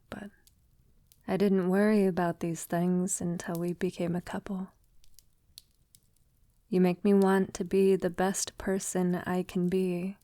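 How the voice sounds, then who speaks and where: A woman playing a gentle, conscience-troubled vampire lover in an intimate ASMR audio roleplay, speaking softly, tenderly and hesitantly. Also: medium pitch, dry recording, natural voice. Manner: soft, tender, hesitant, often dropping to a whisper. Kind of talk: ASMR girlfriend roleplay, soft intimate acting.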